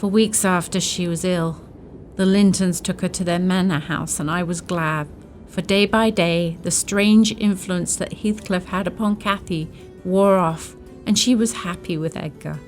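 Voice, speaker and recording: A woman's voice: lowish voice, steady tone, unhurried, soft background music, close to an everyday voice. Actress as a concerned, motherly old servant woman. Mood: somber, narrating. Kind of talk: radio drama acting and storytelling.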